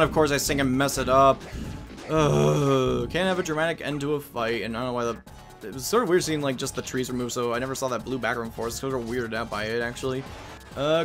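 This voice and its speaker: A man's voice: mid-range voice, fairly bright tone. A man giving casual gameplay commentary as the host of a let's play. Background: game music.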